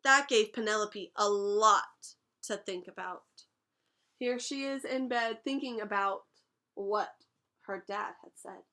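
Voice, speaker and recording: A young woman teaching a class, reading a picture book aloud and pausing to explain words and ask questions. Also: mid-range voice, bright, forceful tone. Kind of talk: read-aloud storytime lesson.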